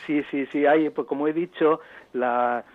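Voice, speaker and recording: A man with an accent from Spain answering as an author in a radio interview, explaining in a halting, repetitive and digressive way. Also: fairly high pitch, fairly even intonation, narrow, tinny audio. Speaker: author interviewed about own book.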